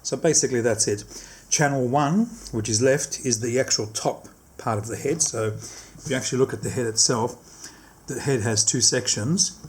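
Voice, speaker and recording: A man's voice: medium pitch, normal speed, slight background noise. A man giving a casual hands-on product demonstration, explaining step by step and often rephrasing his instructions.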